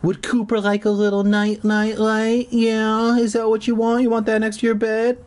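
Man doing a high-pitched, obnoxious teenage brother. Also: monotone, moderate pace, darkish tone, clean tone, close to an everyday voice. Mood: mocking baby talk.

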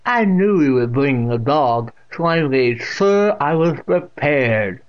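A man voicing a stern, eerie deaf old woman of at least eighty in a storybook reading, with slow, drawn-out, commanding speech. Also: a little high, lively intonation, highs cut off. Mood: impatient, insistent.

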